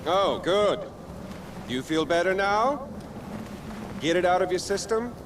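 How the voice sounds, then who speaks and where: An actor playing a flamboyant, mercurial tyrant in a video game, theatrical and sardonic with mock politeness. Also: male voice, high voice, animated, slow, background noise. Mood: playful. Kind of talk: video game cutscene acting. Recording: game music behind the voice.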